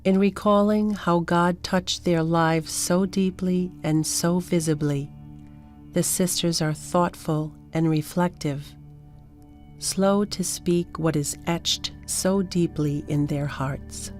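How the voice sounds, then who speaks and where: A woman narrating a documentary in a calm, measured, scripted way. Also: lowish voice, soft background music.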